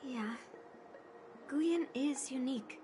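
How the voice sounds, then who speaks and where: A woman's voice: sits high, slow, background noise. A woman acting as a stubborn but earnest young swordswoman in a video game, speaking with some hesitation. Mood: regretful.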